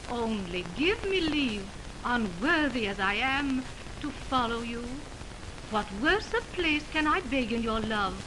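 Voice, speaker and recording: An actress playing a lovesick, pleading young woman in declaimed 1920s radio Shakespeare. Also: sits high. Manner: declaimed classical stage delivery. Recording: noisy, hissing 1929 radio aircheck.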